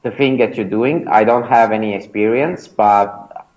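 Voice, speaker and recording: Estonian man, hesitant, lowish voice. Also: measured pace, a little mellow, rough recording. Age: 30s. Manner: hesitant, many fillers and restarts. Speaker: startup founder and mentor, interview guest. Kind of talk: casual interview answers.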